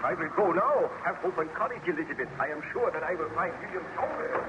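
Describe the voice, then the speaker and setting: A man's voice: a little high, lively intonation, fast talker, natural voice. An actor playing a weary, haunted baron who tells his tragic story in a formal, theatrical 1930s radio style. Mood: concerned reassurance. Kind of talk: 1930s radio drama acting. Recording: muffled, noisy old radio recording.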